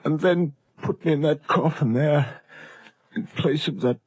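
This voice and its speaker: An actor playing a starved, parched, wronged middle-aged captive, anguished and melodramatic, in a 1930s radio drama. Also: male voice, fairly high pitch, measured pace, darkish tone, breathy edge, no echo, poor audio. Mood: weak, pleading. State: weak and parched. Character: weak, starved, wronged father held captive, defiant.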